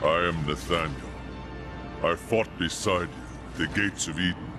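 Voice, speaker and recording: Deep, solemn angel warrior. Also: male voice, music in the background. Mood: proud, welcoming. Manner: measured, formal, solemn. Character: solemn male angel warrior guarding an outpost of light. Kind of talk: fantasy game voice acting.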